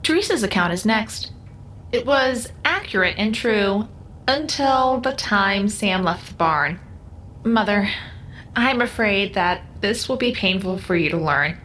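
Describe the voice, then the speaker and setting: Woman acting formal literal-minded female, measured, precise, medium pitch, faint background noise, audio drama. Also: expressive, a bit bright. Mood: matter-of-fact.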